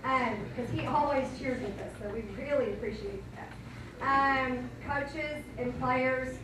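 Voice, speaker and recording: A hoarse woman coach rambles through thank-yous, correcting herself as she goes. Her slightly high voice is picked up from far off in a very echoey hall with steady background noise. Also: takes it slowly. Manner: rambling, self-correcting, chatty. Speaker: cheer coach. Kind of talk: banquet thank-you speech. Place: school banquet room, indoors.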